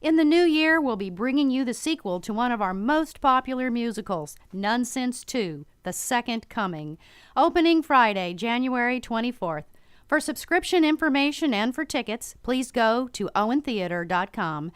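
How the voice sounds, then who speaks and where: A woman's voice: fairly high pitch, animated, normal speed, clear, resonant tone, no echo. A woman reading scripted promotional announcements as a radio announcer.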